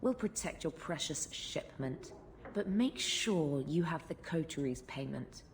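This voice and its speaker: A deep-voiced, cynical enforcer. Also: female voice, expressive, unhurried, a little hiss or hum. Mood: sardonic. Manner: sardonic, blunt, threatening. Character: tough, cynical female criminal enforcer, coolly menacing. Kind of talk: video game cutscene acting.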